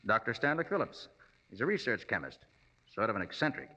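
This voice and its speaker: An actor playing a brisk, self-assured private detective in a 1940s radio drama, on a dull old recording. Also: male voice, medium pitch, sing-song, sharp tone. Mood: calm, matter-of-fact.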